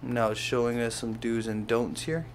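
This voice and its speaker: Male voice, medium pitch, casual tutorial narration, step-by-step explaining, noticeable background noise. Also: little pitch movement, normal speed. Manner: step-by-step, pointing things out as he handles them. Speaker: host of a how-to product video.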